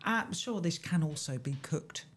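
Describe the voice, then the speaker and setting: A woman hosts a radio show from the studio and talks through a recipe in a chatty, playful way. Her voice sits a little low, and her pitch rises and falls a lot as she talks. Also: lively intonation, a little slow, slight background noise. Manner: chatty and playful, laughs often. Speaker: radio presenter. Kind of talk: casual radio chat reading a recipe.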